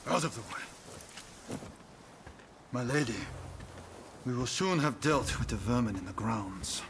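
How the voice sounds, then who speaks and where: Game role: brusque male retainer. Mid pitch, formal, clipped deference, slight background noise. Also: lively intonation, takes it slowly, a bit bright. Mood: stern, deferential. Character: brusque, dutiful samurai retainer serving a lady. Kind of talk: dramatic video game cutscene acting. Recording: faint background noise and ambience.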